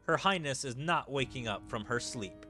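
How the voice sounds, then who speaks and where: A man's voice: mid-range voice, lively intonation, normal speed, over music, natural voice. A man reading game dialogue as an accusing, falsely sorrowful male palace schemer.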